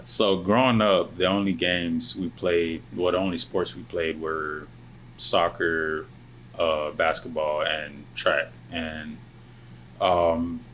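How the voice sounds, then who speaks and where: Guest: young man, very deep voice, soft-spoken, faint air-con hum behind. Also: little pitch movement, poor audio. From born in Lebanon, family from South Sudan, raised in the US. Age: young adult. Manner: soft-spoken, measured, trailing off at phrase ends. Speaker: guest, college football player. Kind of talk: casual interview answers.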